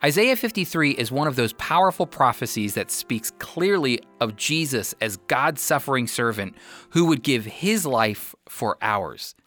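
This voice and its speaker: A man reading a scripted promotional narration as an announcer, with polished, clearly articulated delivery. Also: mid-range voice, a bit bright. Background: background music.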